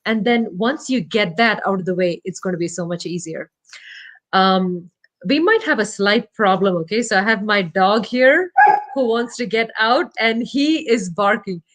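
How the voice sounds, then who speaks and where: A woman's voice: mid-range voice, lively intonation, moderate pace, fairly bright tone. Thoughtful woman guest, Malayalam native. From India. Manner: thoughtful, self-deprecating, searches for words with many fillers. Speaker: guest, startup CEO. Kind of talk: casual interview talk. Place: home, remote call.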